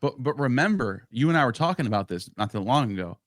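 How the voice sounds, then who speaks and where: A man's voice: medium pitch, expressive, fast talker, dry recording, clean studio sound. A man in a casual podcast discussion, thinking aloud in bursts with frequent restarts. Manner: talks in bursts with many restarts. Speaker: podcast co-host or guest.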